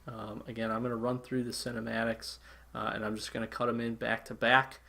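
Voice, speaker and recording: A man's voice: mid-range voice, level tone, fairly quick, creaky, pushed and bright. A man hosting a gaming channel, giving a chatty, enthusiastic casual intro with lots of fillers.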